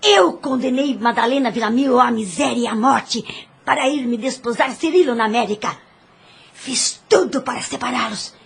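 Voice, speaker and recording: Raspy, creaky madwoman, guilt-ridden and frenzied. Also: fairly high pitch, full character voice. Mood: agonized confession. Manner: exalted, manic, with slow dramatic delivery. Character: demented guilt-ridden woman, frenzied, fearing the devil. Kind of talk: religious audio drama acting.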